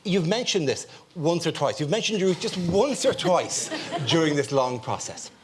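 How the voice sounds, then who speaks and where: A man hosting a comic studio chat show, teasing his guest with playful, quick asides. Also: fairly high pitch, sing-song. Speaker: host. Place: TV studio with audience. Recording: studio audience noise.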